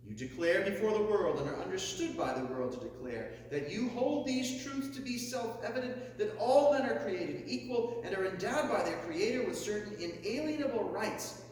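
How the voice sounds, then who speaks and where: Male orator with a fairly high voice, emphatic and rising on every list, recorded far off in a big reverberant hall. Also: expressive, normal speed, few pauses. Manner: emphatic, builds to forceful high peaks on lists. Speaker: reader of a historic speech. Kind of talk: declamatory oration reading. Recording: echoey hall, mic far off, faint hum.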